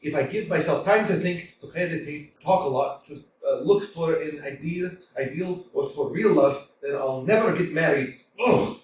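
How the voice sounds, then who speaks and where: A man's voice: on the high side, normal speed, hardly any pauses, lightly acted. A man playing a stammering nervous suitor. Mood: anxious. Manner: stammering, flustered, nervous laughs. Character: nervous anxious 35-year-old suitor, hypochondriac. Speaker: actor in a staged reading. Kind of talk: staged play reading. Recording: roomy hall from a distance, faint hiss.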